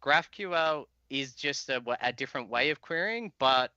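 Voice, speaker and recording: A man's voice: mid-range voice, lively intonation, unhurried, pushed and bright, no room sound. A man in his forties with an Australian accent, giving an informal, chatty technical talk as a presenter on a video call. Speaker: guest presenter, software trainer. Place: indoor room, video call. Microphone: headset. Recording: slightly dull headset audio.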